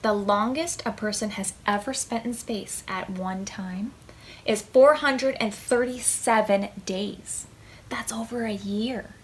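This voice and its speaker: Slow-paced woman reading to young children. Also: mid-range voice, a little hiss or hum. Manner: deliberate, expressive phrasing with emphasis for small children. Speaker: children's librarian hosting preschool storytime. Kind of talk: read-aloud of a picture book for young children.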